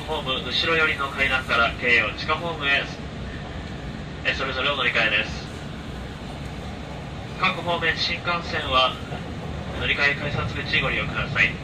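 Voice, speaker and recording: Male conductor, formal and polite onboard announcements, heard from a distant train speaker through clear rumble. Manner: polite, formulaic phrasing. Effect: train PA loudspeaker. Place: inside a moving train, picked up from the cabin speaker. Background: train running noise.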